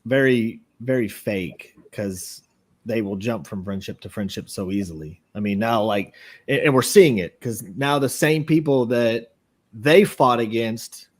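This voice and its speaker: A man's voice: on the low side, a little slow. A man co-hosting a podcast in casual chat, blunt and profane, often repeating words as he starts sentences. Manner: stammering restarts, blunt and swearing. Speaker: podcast co-host.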